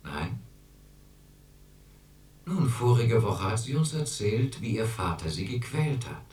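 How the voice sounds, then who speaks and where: A man's voice: on the low side, very animated, slow, darkish tone. An actor playing a domineering group therapist in a radio drama, pressing and condescending as he questions. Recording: roomy, distant mic.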